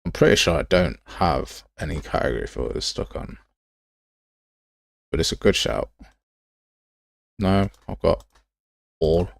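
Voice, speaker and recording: A man hosts a casual livestream in a low voice with plenty of vocal fry. His pitch moves around a lot as he grumbles and thinks aloud. The sound is close and dry. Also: expressive, unhurried, long pauses, no echo. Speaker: livestream host. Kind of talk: casual livestream commentary while browsing software assets.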